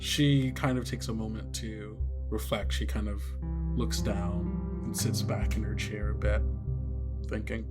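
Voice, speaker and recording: A man's voice: on the low side, level tone, a little slow, loud music behind the voice. A man running a tabletop game, improvising narration and thinking aloud in a conversational way. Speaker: game master.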